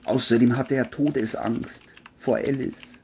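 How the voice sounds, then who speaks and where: A woman reading scripted narration for a crime audiobook in a husky voice. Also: extremely low pitch, fairly even intonation, a little slow, dark tone, a little hiss or hum.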